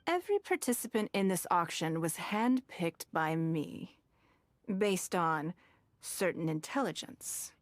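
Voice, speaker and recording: An actress voicing a composed, shrewd and wealthy woman who hosts an auction in a game, speaking in a measured, faintly amused way. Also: lowish voice, expressive, no room sound, clean studio sound. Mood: poised. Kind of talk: game voice acting.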